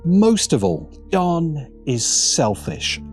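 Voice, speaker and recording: Male essayist narrator, mid-pitched and very animated in delivery, music underneath. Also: drawn-out words. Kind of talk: scripted video essay narration.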